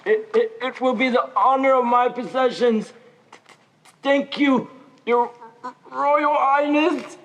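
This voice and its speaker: A student actor playing a gentle, earnest, deformed young man who stammers and speaks haltingly in a stage play. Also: high voice, steady tone, a little hiss or hum. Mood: grateful. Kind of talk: high school stage play acting. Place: school theater stage. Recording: echoey theater hall.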